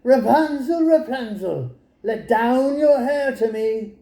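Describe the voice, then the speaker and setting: A man's voice: very high voice, animated, takes it slowly, warm tone, echoey room, pitched above the performer's own voice, exaggerated voice. A man voicing a possessive, commanding old enchantress in a storybook, with an incantatory delivery. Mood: calling, commanding. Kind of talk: storybook character voice.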